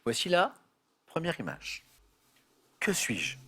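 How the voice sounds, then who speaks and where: A man's voice: on the high side, wildly swooping pitch, slow, bright, forceful tone, slightly rough. Jokey man hosting a TV quiz. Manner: playful, jokey, chatty. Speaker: quiz show host. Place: TV studio.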